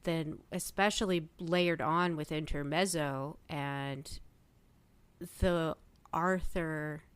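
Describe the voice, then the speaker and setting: Female podcast panelist, lowish and crisp, animated casual delivery, very dry sound. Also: takes it slowly, no room sound. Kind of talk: casual fan discussion.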